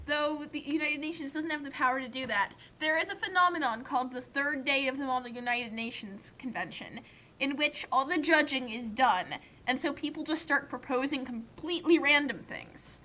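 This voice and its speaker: A woman's voice: high-pitched, moderate pace, sharp tone, rough recording. A young adult woman giving casual comedic commentary in an emphatic, nerdy, argumentative way.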